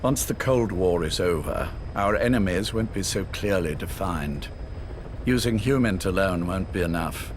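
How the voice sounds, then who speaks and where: A man playing a cold, visionary older spymaster in a dramatic video game, speaking in a measured, formal, lecturing way. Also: deep voice, expressive, background noise. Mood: dismissive, lecturing. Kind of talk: scripted dramatic game dialogue.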